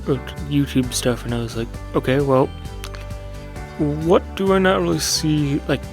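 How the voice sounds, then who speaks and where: Soft-spoken man musing about his channel. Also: a little high, animated, normal speed, faint music behind. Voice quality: soothing. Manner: meandering, self-correcting with fillers. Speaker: gaming channel host. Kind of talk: casual reflective monologue.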